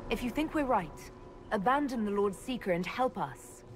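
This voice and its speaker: An actress playing a composed, resolute female leader in a video game, speaking calmly and formally. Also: mid-range voice, moderate pace, a little hiss or hum, lightly acted. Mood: questioning. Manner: calm, formal. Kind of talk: video game cutscene acting.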